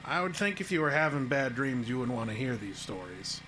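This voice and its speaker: A man's voice: medium pitch, big pitch swings, a little fast, bright, forceful tone, slight background noise, rough recording. An actor playing a plain-spoken small-town handyman in an audio drama, folksy, skeptical and matter-of-fact. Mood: folksy storytelling.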